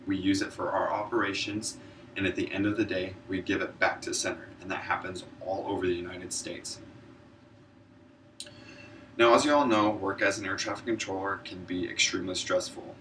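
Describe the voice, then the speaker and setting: A man's voice: low-pitched, fairly even intonation, normal speed, fairly bright tone. A man delivering a prepared informative speech in an earnest presenter's manner, stumbling now and then over his words. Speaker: student public speaker, military air traffic controller. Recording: distant mic, noisy room ambience.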